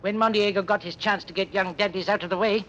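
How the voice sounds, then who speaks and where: An actor playing a gossipy, bitter tailor who tells tales eagerly in a 1930s radio drama. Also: male voice, high-pitched, steady tone, fairly quick, fairly bright tone, dull audio, a little hiss or hum. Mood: confiding.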